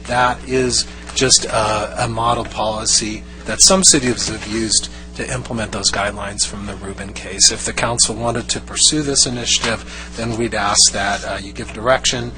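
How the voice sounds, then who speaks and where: A man speaks as city staff at a meeting. He is formal, careful and halting, with music clearly heard behind him. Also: on the low side, steady tone, poor audio. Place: council meeting chamber.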